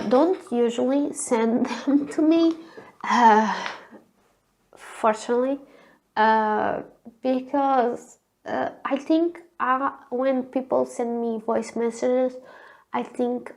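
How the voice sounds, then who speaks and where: A woman with a thin, girly voice, hoarse from a cold, chatting alone on camera and often searching for words. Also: a little high, a little mellow. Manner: hesitant, searching for words, frequent fillers. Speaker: vlogger. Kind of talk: casual solo chat.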